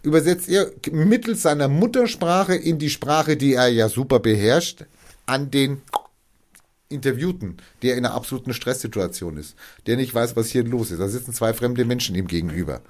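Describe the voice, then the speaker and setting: Man of medium pitch, casual explainer; animated, acts out bits of dialogue, restarts a lot. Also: moderate pace.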